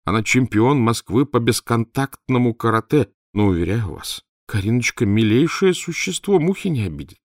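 A man's voice: medium pitch, lively intonation, moderate pace, few pauses, no room sound, clean studio sound, pitched above the performer's own voice, close to an everyday voice. A man doing a flustered, indignant head doctor. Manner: nervous, indignant. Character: flustered middle-aged male head doctor.

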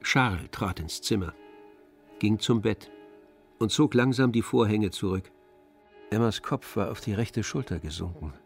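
A man narrating a literary German radio play in a measured, restrained, sober way. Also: on the low side, gravelly, faint music behind.

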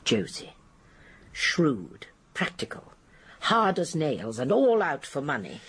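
A woman's voice: deep voice, big pitch swings, slow pace, slightly rough, heavily acted. An actress playing a shrewd, genteel elderly English spinster detective in a radio drama, precise and emphatic as she explains. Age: older. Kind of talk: British radio drama acting.

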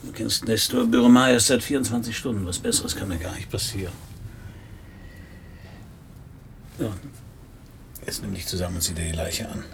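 Gravelly, hard-boiled private detective. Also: male voice, lowish voice, steady tone, fairly quick, slight background noise. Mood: terse, cool. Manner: laconic, sardonic, gruff. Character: cynical, hard-boiled male private detective narrating his case. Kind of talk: first-person crime radio play narration and dialogue.

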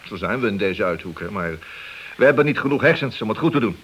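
An actor playing a wily senior police detective in a radio play, leisurely and needling behind a friendly front. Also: male voice, fairly low pitch, lively intonation, fast talker, husky. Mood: falsely friendly. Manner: slyly affable, leisurely, needling. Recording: hissy old radio play recording.

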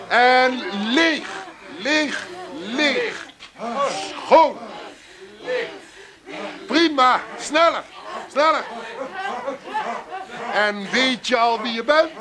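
An actor playing a gushing, patronising therapy group leader in a radio play, coaxing with repeated encouragement. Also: male voice, very high voice, heavily acted. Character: gushing, patronising male therapy group guru, pushy and soothing.

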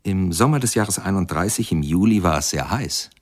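An actor playing the narrator of a radio ballad, addressing listeners directly in short, deliberate sentences. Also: male voice, deep voice, expressive. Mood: sober, mournful. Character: storytelling male narrator of a jazz musician's sad life.

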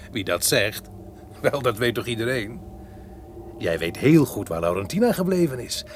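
A man's voice: mid-range voice, lively intonation, unhurried, lightly acted. An actor playing a talkative, sly, nervous soldier who boasts and stumbles over his words in a gothic radio play. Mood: taunting. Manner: chatty, boastful, stammering over slips. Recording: noisy old radio recording.

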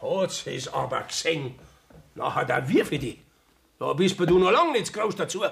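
A middle-aged man playing a gruff, stern peasant father in a dialect radio play, with a creaky voice and a Bavarian accent. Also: on the high side, very animated, normal speed, fairly bright tone, full character voice. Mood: stern, threatening. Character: gruff, stern peasant father rebuking his grown son.

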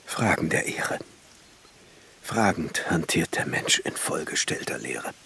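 An actor playing a brooding, philosophical court alchemist in a radio drama, with a husky, breathy voice and formal old-fashioned phrasing. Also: male voice, a bass voice, very animated, slow pace, pushed and bright, heavily acted.

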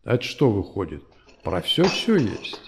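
A man's voice: fairly low pitch, huge pitch swings, moderate pace, noisy background. A man playing an old grumbling grandfather in an audio drama, telling stories in a folksy, colloquial way. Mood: curious, skeptical. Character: old grumbling grandfather, fond storyteller of old history.

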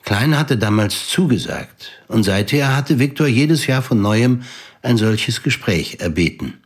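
Male narrator, slightly low pitch, husky, composed, matter-of-fact, scripted storytelling. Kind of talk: scripted audio drama narration.

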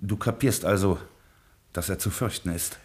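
A man as a low-voiced, persuasive gangster boss. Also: moderate pace, lightly acted. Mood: ominous. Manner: dramatic, persuasive. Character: pleading gangster boss asking an old friend's help. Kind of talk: German radio play acting.

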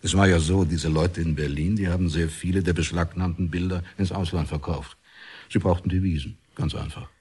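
Radio play official, very husky low voice, formal, urbane, courteous commissioner. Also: male voice, steady tone, fairly quick, a little mellow. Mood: courteous, explanatory. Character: urbane, official state commissioner acting as interpreter. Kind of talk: radio drama dialogue.

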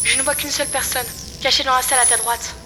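Quick, high-pitched female AI assistant, voiced by a woman. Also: steady tone, natural voice, poor audio. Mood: cool, observant. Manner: clipped, factual, cool. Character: female AI assistant, brisk, practical, sardonic companion. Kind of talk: French science-fiction audio drama acting. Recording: music behind the voice.

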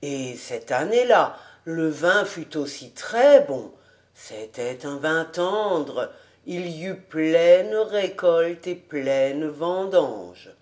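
Woman voicing a slow, good-natured old male farmer. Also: low-pitched, animated, darkish tone, voice pushed down, full character voice. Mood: contented. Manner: slow, deliberate, exclamatory. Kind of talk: audiobook storytelling.

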